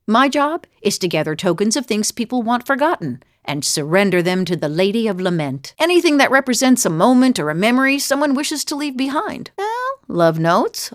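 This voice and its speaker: A woman's voice: medium pitch, no echo, studio quality, lightly acted. A woman voicing a bold, teasing female fighter and wanderer in a fantasy video game, with a wry, conversational delivery. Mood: wry, friendly.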